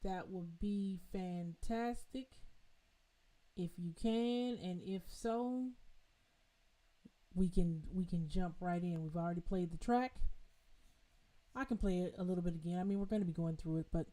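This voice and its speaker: Mellow-voiced woman teaching piano live. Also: on the low side, a little slow, pauses often. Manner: chatty, talks directly to viewers, loose with fillers and restarts. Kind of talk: casual live-streamed piano lesson. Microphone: home streaming mic with adjustable level.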